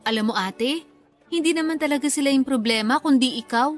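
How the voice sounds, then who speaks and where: An actress plays a perceptive younger sister with a fairly high voice. She is blunt and reproachful yet protective, acting in radio drama style over faint music. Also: brisk, natural voice. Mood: confrontational. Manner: blunt, confrontational.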